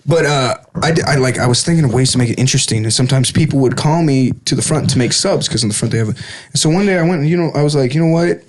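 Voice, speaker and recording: A man guesting on a podcast, telling stories with a joking manner and a slightly husky voice. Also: mid-range voice, fast, a little hiss or hum. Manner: jokey storyteller who slips into put-on accents. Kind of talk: casual podcast chat. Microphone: studio podcast headphones and mic.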